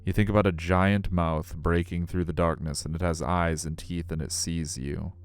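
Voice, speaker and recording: A man's voice: low voice, fairly even intonation, moderate pace. A middle-aged man live streaming a visual novel, switching between casual chat and narration. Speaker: game streamer.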